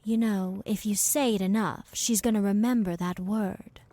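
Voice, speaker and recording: A woman's voice: medium pitch, fairly even intonation, moderate pace, dark tone, no room sound, lightly acted. A woman playing a protective, patient minder of a child in an audio drama, motherly and admonishing.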